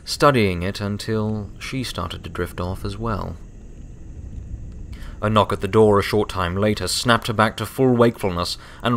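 A man's voice: lowish voice, a bit bright. A man reading a scripted story aloud as narrator.

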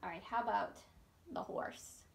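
A woman's voice: measured pace, faint music behind. A woman in her thirties hosting a children's storytime, speaking to young children with simple questions and prompts. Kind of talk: children's storytime talk and singalong. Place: small room at home, indoors. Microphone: phone a few steps away.

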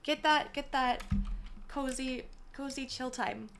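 A young woman in her twenties chatting casually on a gaming live stream, her voice slightly hoarse and worn, talking from a small room into a mic set far from her with the gain turned up. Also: sits high, slow pace, background noise. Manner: chatty, apologetic, greets viewers by name. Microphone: desk mic set far from her, gain turned up.

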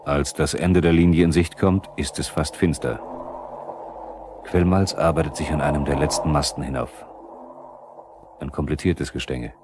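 A probing male narrator in a 1970s East German radio drama. His voice is extremely deep, and he speaks straight to the characters. The old record adds light noise. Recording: noisy 1970s vinyl radio play.

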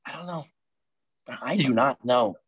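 An actor playing a worried male insurrectionist over a video call, husky voice. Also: mid-range voice, unhurried, a bit bright, no echo. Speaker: actor in a comedy sketch. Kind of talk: video-call play reading. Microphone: video call webcam.

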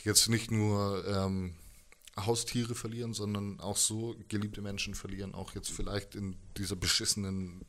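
A middle-aged man telling a personal story as an interview guest, thoughtful and halting, in colloquial words. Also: fairly low pitch, level tone, a bit bright. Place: indoors, living room with instruments. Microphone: handheld microphone held close.

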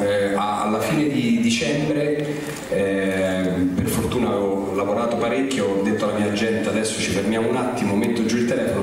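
A man speaking on a cinema festival panel in a chatty way, with quick bursts and laughing asides, into a handheld mic. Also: fairly low pitch, a little mellow, a little hiss or hum. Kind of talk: press panel talk. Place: large cinema hall stage. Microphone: handheld mic, far camera. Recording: echoey hall from far off.

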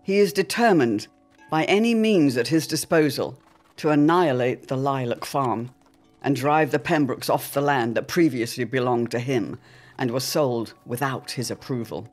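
An announcer narrating a serial audio drama in a formal, measured, old-time radio style with theatrical flair. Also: medium pitch, faint music behind.

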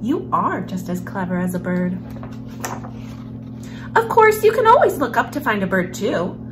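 Young lady doing kids story time, lilting expressive storyteller voice, music playing behind. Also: medium pitch, unhurried, rough recording. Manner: expressive, animated storyteller, with hushed passages. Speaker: host of a children's read-aloud series. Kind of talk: picture book read-aloud for children. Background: background music.

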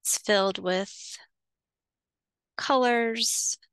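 Woman doing guided meditation, slow n soothing, smooth clean voice, super dry close sound. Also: on the high side, a bit bright, no room sound, low-quality recording. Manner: soothing, instructional, pausing between short phrases. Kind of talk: guided visualization, meditation narration.

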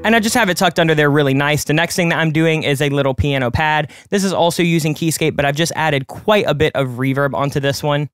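A man in his late twenties walks through a music production in a tutorial, talking fast and casually as he explains each step. His voice sits a bit high and sounds smooth and clear. The sound is dry and close, recorded in his home studio. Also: fairly bright tone, no room sound. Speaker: music producer and channel host. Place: home studio with colored lights.

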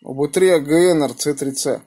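A man with a fairly high, husky voice works as an amateur radio operator. He talks casually and colloquially, slipping between slang and spelled-out call signs. He is recorded on a phone in a small room. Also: brisk, warm tone. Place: small room indoors beside radio equipment. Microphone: phone held near the radio.

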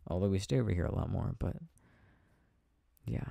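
Man as tender, teasing boyfriend for ASMR roleplay; low, very mellow voice, soft and close. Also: normal speed, gravelly, dry recording, full character voice. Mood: playful teasing. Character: affectionate, tired, playful boyfriend getting ready for bed. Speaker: audio roleplay performer. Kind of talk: intimate boyfriend ASMR roleplay, casual chat.

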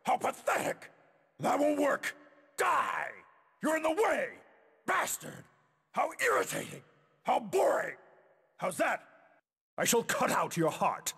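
Casting: menacing, disdainful fighting-game warrior. Husky, hugely animated pitch, grand haughty delivery. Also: male voice, takes it slowly, pauses often, long reverb tail, heavily acted. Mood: angry taunting. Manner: haughty, declamatory, dramatic. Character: proud, menacing adult male warrior, disdainful of weaker foes. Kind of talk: video game fighting voice clips, theatrical.

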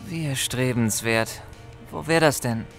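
Dry, sceptical young shop clerk. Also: male voice, mid-range voice, unhurried, a bit bright, soft background music. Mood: sarcastic, concerned. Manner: casual, dry, sarcastic. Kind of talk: radio play dialogue.

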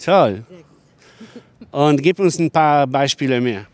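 A man's voice: mid-range voice, lively intonation, slow, no room sound. A German man in his fifties doing casual street interviews, encouraging with short reactions and simple questions. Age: 50s. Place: outdoors on a courtyard path. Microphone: handheld microphone.

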